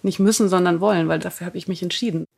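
A woman's voice: lowish voice, steady tone, fast talker, gravelly, darkish tone, slightly rough. A woman in her thirties, a podcast guest candidly telling her personal story. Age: 30s.

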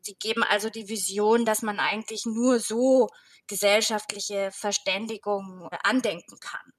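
A woman's voice: mid-range voice, steady tone, a little slow. A woman giving considered, analytical comments as a jury guest in a radio discussion. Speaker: jury member, interview guest.

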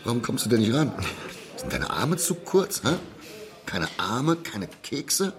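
An actor playing a menacing, mocking thug in a radio drama, crude and taunting. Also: male voice, medium pitch, very animated, a little slow, over music. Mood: contemptuous. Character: menacing, sneering male thug demanding money. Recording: crowd chatter behind the voice.

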